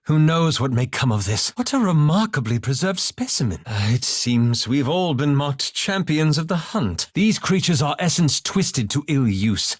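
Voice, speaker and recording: A man's voice: on the high side, sing-song, moderate pace, hardly any pauses, husky, no room sound, clean studio sound. An actor voicing a cautious, scholarly young male elf wizard in a video game, formal and dryly sardonic, with precise articulation. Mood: measured, wary. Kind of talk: scripted video game voice lines.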